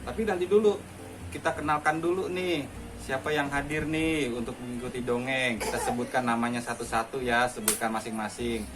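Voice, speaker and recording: A man telling a fable to his children in a chatty, halting way, full of fillers. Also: medium pitch, fairly even intonation, poor audio. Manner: chatty, halting, many fillers, addresses listeners as friends. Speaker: father telling a bedtime-style story to his children. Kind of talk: casual storytelling.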